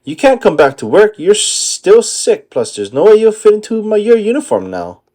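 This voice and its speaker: A man voicing a gruff, bossy male crab boss in a cartoon storybook, brusque and commanding. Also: high-pitched, sing-song, moderate pace, dark tone, voice pushed up. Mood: barking.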